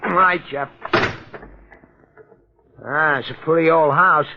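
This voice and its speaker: Wisecracking high-voiced cop sidekick. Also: male voice, slow. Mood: comic, chatty. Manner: colloquial, comic, mock-philosophical. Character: wisecracking, folksy male police sidekick, chatty and unruffled. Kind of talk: 1940s radio detective drama acting. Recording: muffled, hissy 1940s radio.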